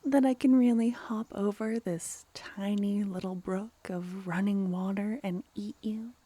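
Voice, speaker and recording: A woman voicing a regal, mischievous female vampire in a softly spoken ASMR fantasy roleplay, teasing and mock-polite. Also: mid-range voice, steady tone, a little slow, natural voice. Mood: playfully indignant. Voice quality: soft, breathy.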